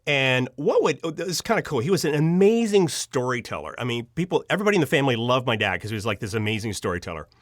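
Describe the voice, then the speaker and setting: A man's voice: mid-range voice, sing-song, quick pace, few pauses, dry recording. A man from Pennsylvania tells personal stories as a podcast guest. He rambles expressively and slips into bits of dialogue, in a mid-range voice with a dry studio sound. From Pennsylvania, United States. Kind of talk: casual personal storytelling.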